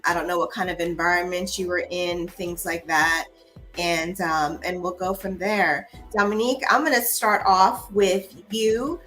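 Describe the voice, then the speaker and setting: A young adult woman hosting a casual livestream talk and speaking in an earnest, measured way over a video call. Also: fairly low pitch, a bit bright. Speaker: host.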